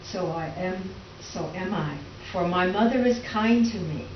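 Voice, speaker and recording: A woman's voice: on the low side, a little slow, close to an everyday voice. A woman playing a tender, earnest young farm schoolgirl in a lyrical staged reading. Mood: hopeful, defiant. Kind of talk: readers theater dramatic monologue. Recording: echoey room, distant mic, dull, faint noise.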